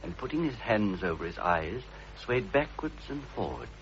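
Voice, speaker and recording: An actor playing a detective's proper, concerned friend, formal and expressive in old radio drama style. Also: male voice, fairly low pitch, moderate pace. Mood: grave narration. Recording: dull, lo-fi old radio recording.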